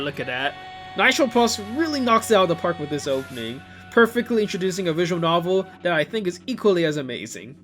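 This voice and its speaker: A man giving casual, enthusiastic video commentary in an excitable, conversational way, full of exclamations and asides. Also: sits high. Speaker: video host commenting on visual novel openings. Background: music playing behind the voice.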